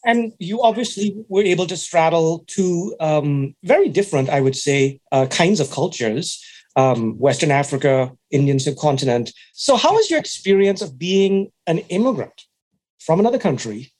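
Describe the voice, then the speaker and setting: A man hosting a podcast, asking his guest measured, curious questions. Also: a little high, animated, darkish tone. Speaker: podcast host. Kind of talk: interviewer questions.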